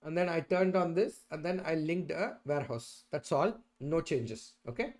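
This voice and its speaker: A man's voice: on the high side, moderate pace, darkish tone. A middle-aged man presenting an explanatory screen-share tutorial, often checking in with "right?" and "okay". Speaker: instructor presenting a software tutorial. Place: indoors, at a computer.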